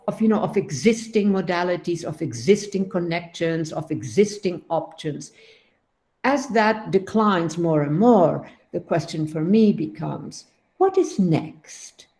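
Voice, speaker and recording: A woman's voice: medium pitch, warm tone. An older woman born in the Netherlands and raised in Latin America, thinking aloud in an unscripted academic panel talk, with frequent restarts and "you know".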